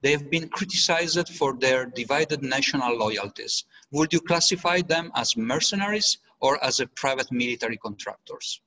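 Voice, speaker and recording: Italian-accented male moderator, bright, halting delivery. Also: mid-range voice, expressive, poor audio. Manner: hesitant, repeats words while reading questions. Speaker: webinar moderator. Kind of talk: moderating a panel webinar.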